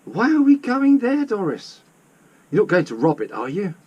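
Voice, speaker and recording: A man voicing an excitable, wide-eyed young girl in a children's story, astonished and questioning. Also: high-pitched, moderate pace, breathy edge, highs cut off, voice pushed up, exaggerated voice. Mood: worried.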